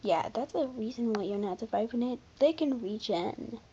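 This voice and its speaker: A commentator giving casual, unscripted video commentary in an informal, halting way, often backtracking. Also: mid-range voice, animated, warm tone, highs cut off, slight background noise. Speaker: video creator presenting a ranking.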